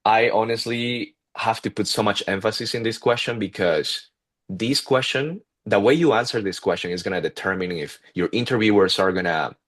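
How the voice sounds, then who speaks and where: A man in his twenties with a heavy Peruvian accent, talking casually as a guest, halting with many fillers and restarts. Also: lowish voice, a bit bright. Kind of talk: casual interview talk.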